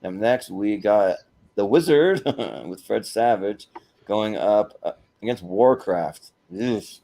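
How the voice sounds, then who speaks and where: A man's voice: lowish voice, animated, slow, gravelly, dark tone. A man hosting an informal panel discussion, chatting casually. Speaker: host of a panel show.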